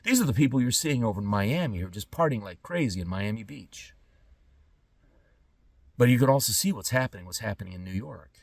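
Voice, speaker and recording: A man's voice: lowish voice, animated, a little fast, creaky, no echo. Middle-aged man, slightly distant dry sound. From born in Trinidad and Tobago, lived in California. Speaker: podcast host. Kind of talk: unscripted monologue to camera.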